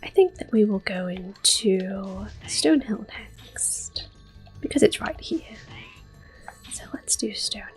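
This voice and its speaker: Soft whispering woman, slow-paced ASMR. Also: mid-range voice, lively intonation, soft background music, rough recording. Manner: gentle, unhurried. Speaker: ASMR gameplay host. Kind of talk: soft-spoken ASMR game commentary.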